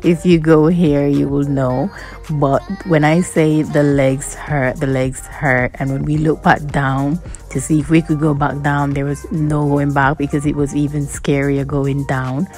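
A woman giving a relaxed, reflective voice-over about a walk. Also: deep voice, a little slow, faint music behind. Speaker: vlogger narrating. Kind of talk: voice-over narration.

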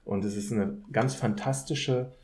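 A man's voice: on the low side, measured pace, darkish tone. A man answering interview questions conversationally as a podcast guest, in thoughtful, hesitant, academic phrasing. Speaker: podcast guest, political scientist.

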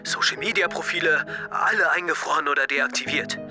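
A man's voice: a little high, fairly quick, music in the background, close to an everyday voice. An actor playing a blunt, defensive teenage skater boy in an audio drama, speaking matter-of-factly. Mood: subdued.

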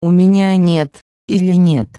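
Mid-pitched mellow voice, slow deliberate lesson reading, dry close sound. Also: steady tone, smooth, no echo. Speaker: Russian phrase reader. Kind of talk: slow scripted phrase reading.

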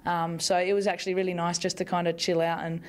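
Australian woman, low flat voice. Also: fast. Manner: chatty, with many fillers and restarts, laughs easily. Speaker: football player at a press conference. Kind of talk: press conference answers. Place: club media room in front of a sponsor backdrop. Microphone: bunch of press microphones on the table.

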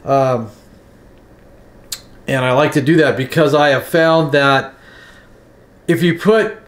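A man in his sixties making coffee in his kitchen while chatting in a relaxed, rambling way with plenty of fillers. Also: medium pitch, slow. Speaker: vlogger. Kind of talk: casual morning vlog with demonstration. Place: home kitchen.

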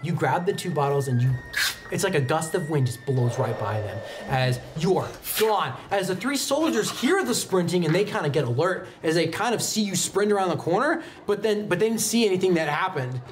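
A man in his twenties narrating a tabletop roleplaying game as game master, conversational and halting, pausing mid-sentence. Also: high-pitched, big pitch swings, a little hiss or hum. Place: indoor studio set at a game table. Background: background music.

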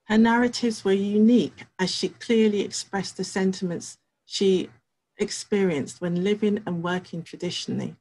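A middle-aged woman researcher reading a prepared talk from her home study, measured and careful, with pauses. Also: mid-range voice, darkish tone, poor audio.

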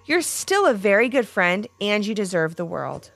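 A woman's voice: mid-range voice, big pitch swings, normal speed, gravelly, no echo, faint music behind. A woman voicing a kind, encouraging child friend in a children's storybook. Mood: comforting.

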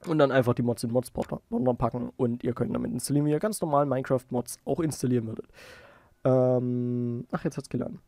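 A man giving a casual software tutorial, informally thinking aloud as he goes. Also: medium pitch, brisk, gravelly, no room sound, clean studio sound. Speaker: tutorial host.

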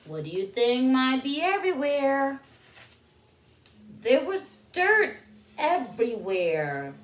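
A woman in her thirties reads a picture book to small children at an unhurried pace. Her pitch swings widely as she poses questions and draws out key words, like a teacher at story time. The room adds a noticeable echo. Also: sing-song. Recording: roomy indoor echo.

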